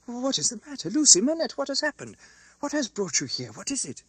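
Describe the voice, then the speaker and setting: An anxious, honest old banker played by a man in a classic storybook reading. The voice is raised high and bright, breathless with urgency and slightly rough. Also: lively intonation, fairly quick, dry recording, heavily acted. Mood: alarmed. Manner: breathless, urgent exclamations and questions.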